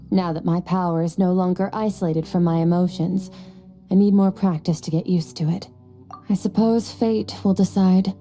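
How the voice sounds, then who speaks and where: Woman playing an aloof ageless warrior, very mellow dark tone, husky, solemn, measured, video game cutscene. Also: fairly low pitch, close to an everyday voice. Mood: gentle, reserved. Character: aloof, solemn, centuries-old swordswoman who looks youthful. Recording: soft background music behind the voice.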